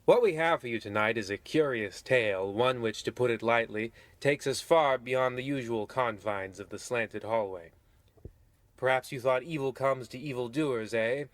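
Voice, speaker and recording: An ominous, genteel radio horror narrator, played by a man. He has a mid-pitched, theatrical, pompous delivery and a dry, close sound. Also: moderate pace, dry recording, natural voice. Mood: ominous, courtly.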